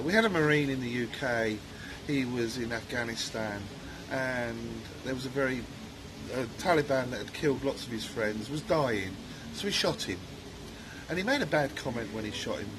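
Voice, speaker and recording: Earnest man, hesitant speech. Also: medium pitch. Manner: hesitant, frequent ums, earnest. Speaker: guest, author and former soldier. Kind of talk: interview answers. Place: outdoor cafe at a resort. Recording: noticeable background noise.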